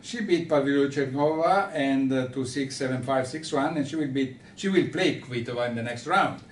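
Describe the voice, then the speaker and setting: Halting Italian-accented man in a roomy, noisy recording. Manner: hesitant, halting, searching for words. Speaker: host of a tennis radio show. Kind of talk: unscripted sports discussion with a guest. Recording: roomy, distant mic with background rumble.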